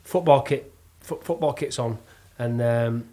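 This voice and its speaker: A middle-aged man with a Yorkshire accent, chatting casually and spinning animated, self-mocking stories. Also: mid-range voice, unhurried. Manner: jokey, self-mocking storyteller. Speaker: podcast guest, actor and plasterer. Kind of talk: casual chat, animated anecdote telling.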